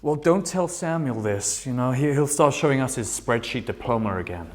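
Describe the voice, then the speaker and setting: A man's voice: medium pitch, animated, moderate pace, darkish tone, close to an everyday voice. A man acting a dim, easygoing, rambling rocker in a sitcom table read. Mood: joking.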